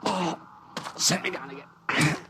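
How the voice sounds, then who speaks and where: An actor with an American accent playing an easygoing, wry climbing partner in an old radio drama. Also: male voice, fairly high pitch, slow. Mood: pained, rueful. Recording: old radio recording with faint noise.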